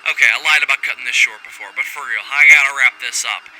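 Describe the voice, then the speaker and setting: A man's voice: mid-range voice, quick pace, lightly acted. A man playing a foul-mouthed, rebellious scientist in a sci-fi audio drama, delivering a rambling, wry and theatrical recorded log that keeps interrupting itself. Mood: yearning, determined. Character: brash, foul-mouthed rogue male scientist, giddy and rebellious. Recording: faint background alarm and machinery noise.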